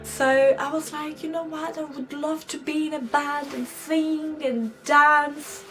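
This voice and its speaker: Young woman, Greek accent, chatty, giggly, slow, slightly high voice, soft background music. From Greece. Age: young adult. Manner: chatty, giggly, animated quoting of herself. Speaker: vlogger. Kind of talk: casual storytime chat to camera.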